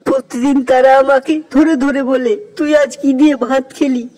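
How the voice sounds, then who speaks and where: A woman's voice: high voice, fairly even intonation, unhurried, mellow. A woman voicing an unhappy little boy in a cartoon, whining and tearful.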